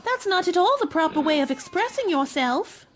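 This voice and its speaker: A woman's voice: very high-pitched, big pitch swings, moderate pace, background noise. A woman playing a polite, earnest, curious young girl in a radio play. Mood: fretful, scolding.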